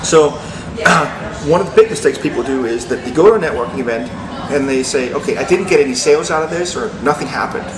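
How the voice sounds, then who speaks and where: A man from Denmark, a guest in a business interview, talking in an earnest, steady, motivational way. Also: mid-range voice, a little fast, hardly any pauses, a crowd in the background. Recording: echoey room with background noise.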